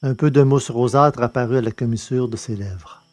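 Dark-toned male audiobook narrator. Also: medium pitch, dry recording, faint music behind. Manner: measured, deliberate storytelling. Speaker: audiobook reader. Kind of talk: scripted narration of a science-fiction novel.

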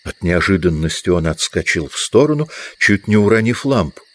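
A man's voice: low voice, sing-song, moderate pace. A man reading scripted literary narration as the narrator of an audiobook.

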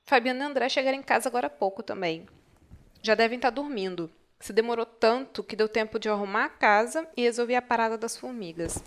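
A Brazilian woman with a fairly high voice acting in an audio drama. She plays a worried, caring housemate who talks in a practical, everyday way. The delivery is naturalistic and conversational. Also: fast, lightly acted. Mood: casual, relieved.

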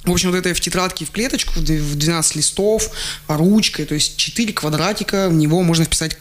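A man, a guest on a radio show, telling childhood stories in a relaxed way, laughing often and restarting his sentences. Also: fairly high pitch, fast talker. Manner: chatty, laughs often, many fillers and restarts. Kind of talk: relaxed radio interview storytelling. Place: radio studio. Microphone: studio microphone.

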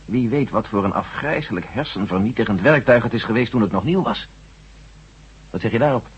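Husky, confident army general. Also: male voice, mid-range voice, lively intonation, brisk. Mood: speculating. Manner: assertive, probing with questions. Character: confident, determined male army general, brisk and reasonable. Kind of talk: radio drama acting. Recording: dull lo-fi old radio recording.

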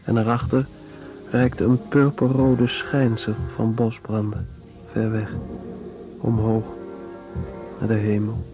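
Radio play: weary convict narrator, unhurried and descriptive, muffled sound with faint music. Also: male voice, lowish voice, little pitch movement. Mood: somber. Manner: measured, descriptive, deliberate. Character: weary male prisoner recalling squalid penal-colony life. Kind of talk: radio drama monologue, literary narration. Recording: dull radio recording with music.

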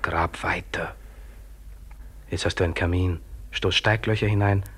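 Grim taunting voice in a man's head, very raspy, low, half whispering, terse, radio play. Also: fairly even intonation, a little slow, fairly bright tone, heavily acted. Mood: grim, commanding. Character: grim, taunting inner voice of a trapped man. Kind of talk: German radio play acting.

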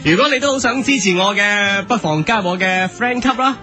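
A man's voice: sits high, expressive, fairly quick, bright, forceful tone, soft background music. A man playing a boastful, chatty sound-effects performer in a comic Hong Kong Cantonese radio sketch. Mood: chirpy, boastful. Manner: chatty, self-promoting. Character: boastful, chirpy male TV sound-effects performer greeting fans.

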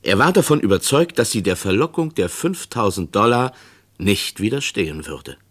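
A man's voice: on the low side, very animated, normal speed. A man giving scripted narration as the narrator of a true-crime radio drama.

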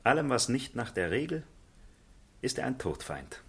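An actor playing a cynical, world-weary gentleman in a literary radio play, confiding and mocking in a theatrical way. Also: mid-range voice, sing-song, normal speed, vocal fry, husky, full character voice. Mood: wry, probing.

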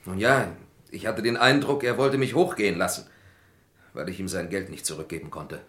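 An actor playing an accused lab worker in a vintage radio crime drama, formal, hesitant and protesting. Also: male voice, low-pitched, a little fast, a bit bright. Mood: defensive, explaining. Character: accused male lab worker, defensive and evasive. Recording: roomy old radio play recording.

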